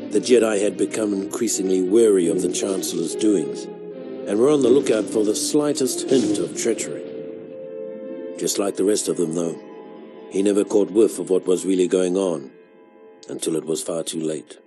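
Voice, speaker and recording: An actor playing a world-weary veteran clone soldier, narrating his war memories in a measured, solemn way for a video game. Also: sits low, music in the background, natural voice. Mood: grim, matter-of-fact. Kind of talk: scripted narration, military memoir.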